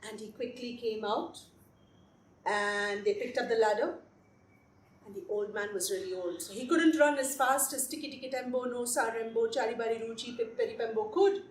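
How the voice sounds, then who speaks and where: High-voiced woman storyteller in an echoey room. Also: moderate pace. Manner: repeats phrases for effect, addresses listeners directly. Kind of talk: animated oral folktale retelling for children. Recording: echoey room with background noise.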